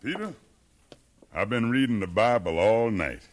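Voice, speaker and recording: An actor in a 1950s radio drama playing a determined, educated freed slave who leads rebels with fervent, persuasive speech. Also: male voice, fairly low pitch, sing-song, a little slow, slight background noise. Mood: solemn, intense. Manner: fervent, persuasive, preaching cadence.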